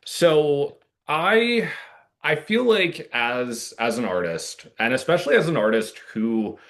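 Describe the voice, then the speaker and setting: A man speaking as an interview guest in a casual, reflective way, halting as he thinks aloud. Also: fairly high pitch, sing-song, fairly bright tone.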